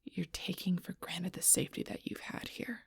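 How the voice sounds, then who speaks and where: A woman playing a gruff, stubborn, protective female alpha werewolf in an intense audio roleplay, blunt and often hushed, with a husky voice. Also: lowish voice, fairly even intonation, moderate pace, no room sound, studio quality, full character voice. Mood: hushed, grim. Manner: intense, blunt, swearing, often dropping to whispers.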